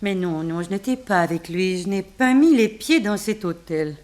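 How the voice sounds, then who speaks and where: A woman's voice: medium pitch, normal speed, darkish tone, close to an everyday voice. A woman playing an indignant, theatrical widow in a French detective radio drama, protesting melodramatically. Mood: defensive. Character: indignant, theatrical wife of a murdered man.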